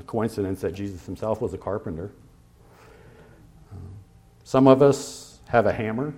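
Low-voiced man preaching a sermon. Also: darkish tone. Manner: conversational, with fillers and pauses; slows to stress scripture references. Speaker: pastor.

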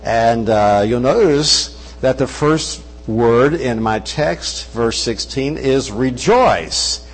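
A man's voice: mid-range voice, takes it slowly, slightly rough, big-room sound, poor audio. An older man with a Kentucky accent, raised in Brazil, preaching as a guest missionary in a church on a wireless mic, folksy with joking asides. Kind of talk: sermon. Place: church service.

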